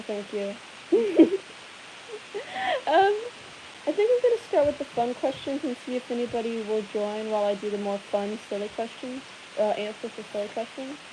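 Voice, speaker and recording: A woman's voice: on the high side, measured pace. Giggly young woman on a hissy stream. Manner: giggly, rambling, chatty with viewers. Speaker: livestream host answering viewer questions. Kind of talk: casual live Q&A chat. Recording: hissy background noise.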